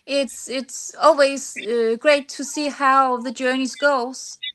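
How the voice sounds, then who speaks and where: A woman's voice: high voice, steady tone, a bit bright. A woman from Denmark with a Danish accent, answering interview questions conversationally and searching for words in her second language. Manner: halting, searching for words in non-native English. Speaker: singer-songwriter, podcast guest.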